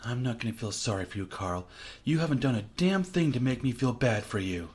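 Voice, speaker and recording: A man's voice: mid-range voice, lively intonation, brisk, a little mellow, close to an everyday voice. A man voicing a defiant young woman held captive, who speaks in a cutting, scornful and blunt way.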